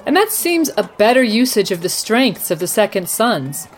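Radio play news anchor, female performer: excitable, middle-pitched, highly animated delivery for breaking war coverage. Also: normal speed, close to an everyday voice. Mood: urgent, incredulous.

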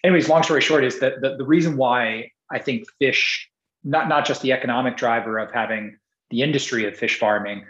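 A man's voice: medium pitch, fairly quick, fairly bright tone. A man from Singapore tells his story as an entrepreneur in a loose, rambling way, circling back with restarts and fillers. His voice sits in the middle of the range. The audio is roomy and a little dull. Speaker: podcast guest, entrepreneur. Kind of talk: casual interview conversation.

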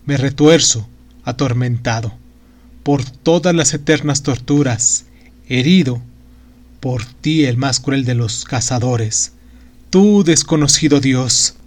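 A man doing a tormented old magician. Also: fairly high pitch. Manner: anguished, pleading lament. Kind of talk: dramatic audiobook reading.

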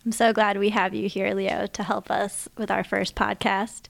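A woman's voice: medium pitch, little pitch movement, moderate pace, creaky. A young woman co-hosting a podcast, chatting in a friendly, casual way. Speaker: podcast co-host.

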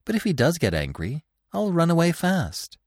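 A man's voice: fairly high pitch, huge pitch swings, moderate pace, no echo, clean studio sound, voice pushed up, exaggerated voice. A man voicing a timid, bewildered young male fawn in a storybook. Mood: nervous resolve.

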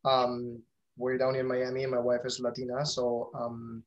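A middle-aged man with a German accent speaks as a guest in a relaxed interview. His pitch is average for a man. He talks in a halting way, feeling for his words as he goes. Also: dull audio. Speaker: podcast guest, company founder. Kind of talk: casual interview chat.

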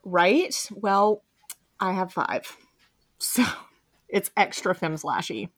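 A woman's voice: mid-range voice, animated, slow pace. A woman hosting a casual podcast discussion, speaking in an enthusiastic, emphatic and playfully teasing way. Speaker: podcast host.

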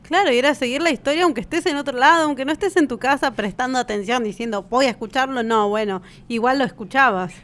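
A woman's voice: high-pitched, fast talker, hardly any pauses, fairly bright tone. A woman with an Argentine accent, co-hosting a podcast in a casual, conversational way and often checking for agreement with little tag questions. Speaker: podcast co-host.

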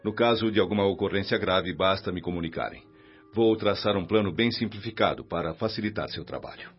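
An actor playing a calm, kindly spirit mentor in a Brazilian radio drama, measured, reassuring and didactic. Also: male voice, sits low, lightly acted. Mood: trusting, businesslike. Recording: dull lo-fi radio recording, music behind.

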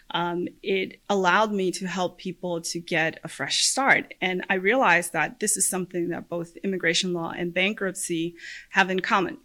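Bright-voiced woman hosting a podcast. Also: on the low side. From Puerto Rico. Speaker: podcast host, lawyer. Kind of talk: podcast monologue introducing herself.

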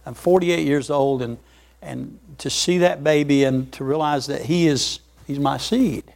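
A man's voice: on the high side, a little slow, gravelly, darkish tone. An older man preaching a folksy sermon, repeating words and chuckling as he goes.

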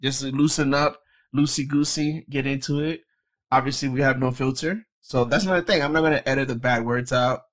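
A man from the Dominican Republic talks loosely on a casual podcast. His voice sits in a middle range and he swears without a second thought. He keeps things relaxed and chatty. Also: mid-range voice, brisk. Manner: laid-back, swears freely. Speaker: podcast co-host. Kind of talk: casual chat.

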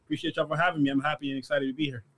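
A man's voice: fairly high pitch, little pitch movement, rapid-fire, a bit bright. A man in his twenties from Canada, born in Congo, giving casual interview answers as a guest athlete. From born in Congo, grew up in Canada from about eight. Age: 20s. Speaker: guest, football draft prospect.

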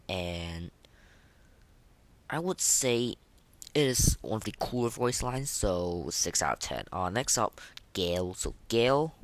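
A man's voice: on the low side, dry recording. A young man hosting a casual, unscripted podcast of game ratings in a hesitant, rambling, informal way. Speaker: podcast host.

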